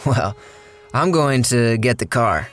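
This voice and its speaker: A man's voice: medium pitch, lively intonation, slow pace, fairly bright tone, faint music behind. An actor playing an easygoing handyman with a folksy, rambling way of telling his shady story in a radio drama. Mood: wary, bantering. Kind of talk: radio drama first-person narration and dialogue.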